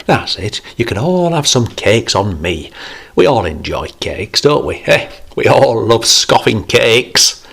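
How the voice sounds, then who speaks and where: A man's voice: medium pitch, big pitch swings, slow pace, creaky, a bit bright, slightly rough, pitched above the performer's own voice, full character voice. A man voicing a jovial, preachy older man, raising his voice above his narration. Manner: pleading, then cheery and coaxing.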